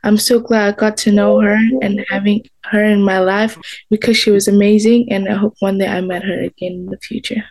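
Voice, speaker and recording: Female guest from Myanmar, non-native English speaker, earnest, plain phrasing, mid pitch. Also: little pitch movement, fairly quick, darkish tone, low-quality recording. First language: not English. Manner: simple, earnest sentences with small grammar slips. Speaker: teacher and former student.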